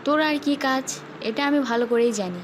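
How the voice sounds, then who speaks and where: Bossy big sister played by a woman, raised pitch. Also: normal speed, lightly acted. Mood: knowing, teasing. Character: bossy, knowing big sister, young adult. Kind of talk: acted dialogue in an amateur audio love story. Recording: slightly noisy recording with ambience.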